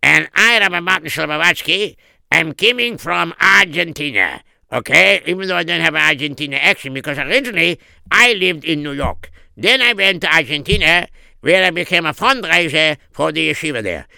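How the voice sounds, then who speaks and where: A man voicing a wheedling rabbi fundraiser in a comic story, with a drawn-out, hesitant way of pleading. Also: a little high, animated, vocal fry, pushed and bright, smooth, no room sound, exaggerated voice, rough recording. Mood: polite wheedling. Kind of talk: acted dialogue in a comic story.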